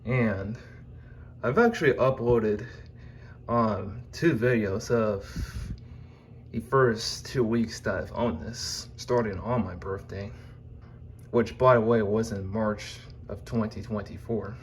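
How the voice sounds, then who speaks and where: A man with a fairly low voice gives an informal showcase, talking very slowly. His delivery is halting and meandering, broken into short unscripted fragments. There is clear ambient noise behind him, as on a phone recording in a small room at home. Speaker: YouTuber presenting own collection.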